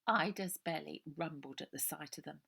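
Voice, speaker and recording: A woman's voice: low-pitched, moderate pace, vocal fry, fairly bright tone, slightly rough. A woman reading a children's novel aloud as scripted story narration.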